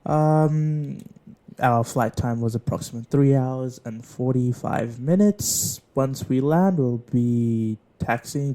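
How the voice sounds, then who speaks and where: A man's voice: medium pitch, warm tone, lightly acted. A man playing an airline captain giving a formal cabin announcement.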